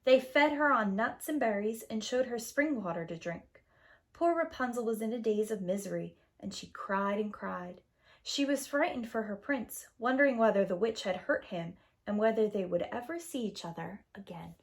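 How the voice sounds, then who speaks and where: A woman with a medium-pitched voice narrates a storybook for young children. Her reading is scripted and expressive, with dramatic phrasing suited to a preschool story time. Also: normal speed.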